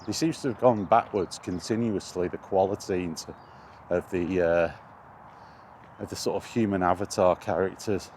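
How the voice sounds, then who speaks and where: Low-voiced man chatting outdoors, noisy background. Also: moderate pace, darkish tone. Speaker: video game vlogger. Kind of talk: rambling casual chat. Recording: clear outdoor background noise.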